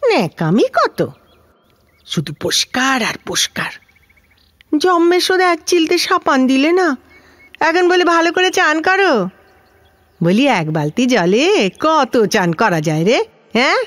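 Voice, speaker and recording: A woman's voice: medium pitch, big pitch swings, measured pace, no room sound. A woman playing a talkative, grumbling elderly widowed housemaid with a Kolkata Bengali accent in an audio drama, speaking in a colloquial, plaintive, scolding way.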